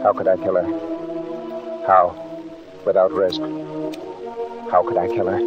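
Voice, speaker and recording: An actor playing a tormented husband brooding on murder in a melodramatic 1940s radio inner monologue. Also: male voice, sits low, flat delivery, takes it slowly. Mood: desperate, brooding. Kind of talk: 1940s radio drama acting. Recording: muffled old radio recording under loud music.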